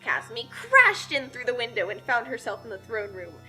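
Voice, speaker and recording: A woman's voice: fairly high pitch, huge pitch swings, moderate pace, bright, forceful tone, faint music behind. A woman telling a comedic story as a scripted narration, casual and tongue-in-cheek.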